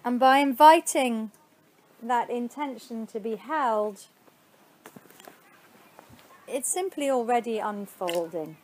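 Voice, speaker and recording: A woman teaching yoga nidra, guiding a meditation in a soothing, unhurried way with spacious pauses between phrases. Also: on the high side, darkish tone, a little hiss or hum. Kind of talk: guided meditation.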